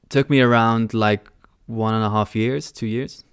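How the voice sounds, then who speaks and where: A young man reads out viewers' questions and answers them in a loose, offhand way. His voice sits fairly low and comes across smooth and clear. He is on a headset mic in a small room, and the sound is close and dry. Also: steady tone, moderate pace, no echo. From born in London. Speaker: trading livestream host. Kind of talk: casual live-stream Q&A.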